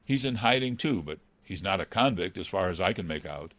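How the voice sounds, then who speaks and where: A man's voice: low voice, lively intonation, a little fast, a bit bright, dry recording. A man playing an anxious, deferential butler in a radio mystery drama, earnest and hesitant. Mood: uneasy, deferential. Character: anxious male butler, deferential, dreading villainy.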